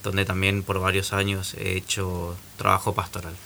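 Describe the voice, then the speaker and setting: A man from Argentina with a lowish, gravelly voice announces details in an orderly way, his tone bright despite the rasp. Faint ambience sits behind him. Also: monotone, normal speed, slight background noise. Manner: orderly, informative, with fillers. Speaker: newly ordained priest, guest. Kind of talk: casual radio interview.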